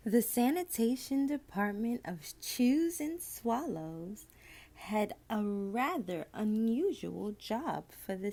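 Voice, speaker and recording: Female storybook reader for children's tales: unhurried, very varied intonation, expressive and deliberate. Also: a little high. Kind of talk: children's picture book read-aloud.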